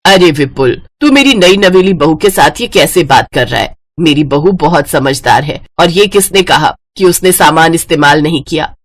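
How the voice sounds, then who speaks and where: A woman's voice: low voice, animated, normal speed, few pauses. A woman voicing a proud, bossy older mother-in-law in a family story, speaking emphatically. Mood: scolding.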